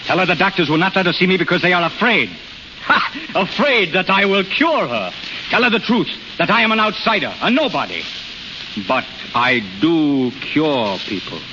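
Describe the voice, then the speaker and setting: An actor playing a domineering, boastful healer in a theatrical 1930s radio drama style. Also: male voice, sits high, big pitch swings, moderate pace. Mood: proud, defiant. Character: domineering, boastful outsider healer, intense and coercive. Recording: muffled, noisy 1930s radio broadcast.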